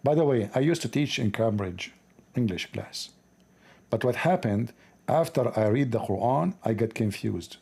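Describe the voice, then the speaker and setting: A man with an Arabic accent reading aloud haltingly and breaking off for sarcastic, joking commentary. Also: medium pitch, expressive, creaky, dark tone, husky. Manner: halting, stumbling reading of English.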